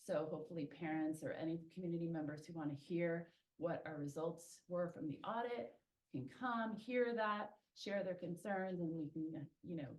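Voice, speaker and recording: Low-voiced woman answering at a meeting. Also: moderate pace, a little mellow, rough recording. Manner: thinking aloud with many ums and restarts. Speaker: school traffic safety organizer. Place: community meeting room.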